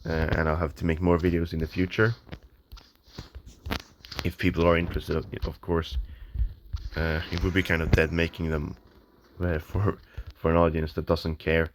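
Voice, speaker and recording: Flat, very deep-voiced man musing over gameplay. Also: normal speed, long pauses. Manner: hesitant, many ums and restarts, thinking aloud. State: voice a bit off, early morning. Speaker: gamer. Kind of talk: casual gameplay commentary. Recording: noisy home recording with clicks.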